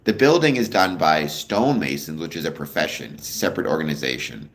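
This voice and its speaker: A young man hosting a casual livestream, answering viewer comments in a relaxed, rambling way with a deep voice. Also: a little fast. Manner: relaxed, rambling, many ums, answers chat questions. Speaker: livestream host.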